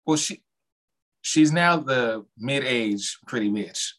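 Male co-host, mid-pitched, blunt and sassy, loose profane gossip among friends. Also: lively intonation, takes it slowly, a bit bright. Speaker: podcast co-host. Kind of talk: casual gossipy chat about reality television.

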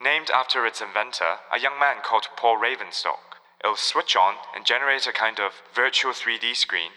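An actor playing a cultured, wry retro enthusiast and kindly friend in an audio drama. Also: male voice, medium pitch, normal speed, sharp tone. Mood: enthusiastic, knowing. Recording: long reverberant hall-like echo.

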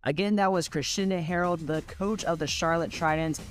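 Higher-voiced man hosting a sports show. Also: fairly even intonation, moderate pace, faint music behind. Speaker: sports show host and interviewer. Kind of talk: sports interview questions and show links.

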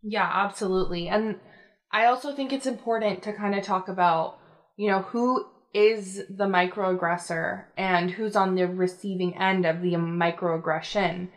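A young woman talking in a casual two-person conversation. She lays out her points calmly and steadily, leaning on everyday fillers. Her voice sits at a middle pitch. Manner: explanatory, measured, many fillers.

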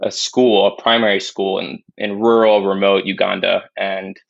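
Gravelly, flat-voiced young man. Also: deep voice, normal speed, no room sound. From born in Spain, raised in New York. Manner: thoughtful, many restarts and fillers. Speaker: podcast guest, climate investor. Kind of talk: casual interview talk. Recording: dull dry lo-fi sound.

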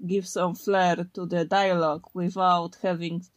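A woman's voice: on the low side, level tone, measured pace, dry recording. A woman from Poland, a native Polish speaker, chatting casually and unscripted as a podcast co-host in a slangy, blunt, playful way.

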